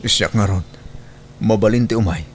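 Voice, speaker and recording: Casting: man to play penitent husband, fairly low voice, Ilocano accent, apologetic and hesitant, audio drama, slight background noise. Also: normal speed, lightly acted. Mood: tentative. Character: remorseful husband pleading for forgiveness.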